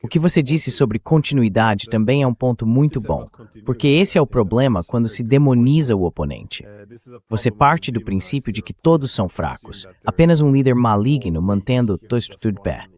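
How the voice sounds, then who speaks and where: A man's voice: medium pitch, expressive, normal speed, darkish tone. A man with a Brazilian accent voicing a dubbed political interview as the host.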